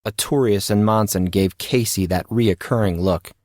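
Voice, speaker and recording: A man's voice: on the low side, normal speed, darkish tone, dry recording, studio quality. A man narrating a scripted story as the narrator of an audio drama.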